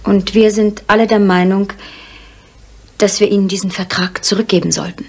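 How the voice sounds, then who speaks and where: An actress playing a controlled, determined young daughter in a 1970s radio crime drama, speaking tersely in a husky voice. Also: female voice, mid-range voice, fairly even intonation, moderate pace, darkish tone.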